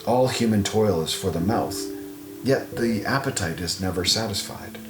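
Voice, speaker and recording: Guy reading bible audiobook, solemn and measured, mid pitch, echoey room w music under it. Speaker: audiobook narrator. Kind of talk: scripted scripture reading. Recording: roomy echo, music underneath.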